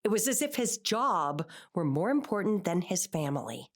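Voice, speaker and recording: A woman's voice: on the low side, sing-song, normal speed. A woman acting an anxious, controlling middle-aged wife and mother in an audio drama, with an earnest, exacting manner. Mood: reflective, defensive. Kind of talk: audio drama acting, confessional monologue and dialogue.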